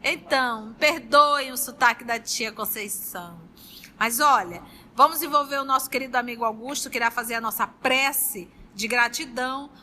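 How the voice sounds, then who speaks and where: Bright, high-pitched Brazilian woman hosting. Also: normal speed, slight background noise. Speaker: host and reader of a book study group. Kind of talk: warm group talk and slow read-aloud of a novel.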